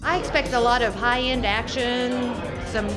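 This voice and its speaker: Eager woman fan over very loud music. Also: a little high, fairly even intonation, unhurried. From Texas. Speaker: fan at premiere. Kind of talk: casual interview answers.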